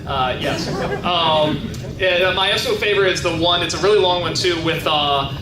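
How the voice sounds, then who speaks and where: A man in his thirties with a high-pitched voice, chatting casually as he answers audience questions at a convention panel, full of fillers and restarts. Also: moderate pace, far from the mic. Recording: echoey convention hall from afar, noisy.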